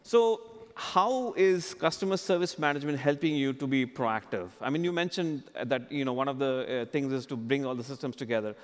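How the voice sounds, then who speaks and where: A man's voice: medium pitch, brisk. A man in his forties hosting a customer interview on a conference stage, speaking into a headset microphone. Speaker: keynote host interviewing a customer. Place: large conference stage, indoors. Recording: large hall reverb on stage.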